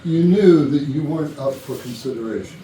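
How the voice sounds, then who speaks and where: A man's voice: on the high side, dark tone, close to an everyday voice. A man playing a guarded, hesitant boss with a subdued delivery in a staged murder mystery comedy. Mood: firm. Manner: halting, subdued. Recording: echoey room, distant mic, background noise.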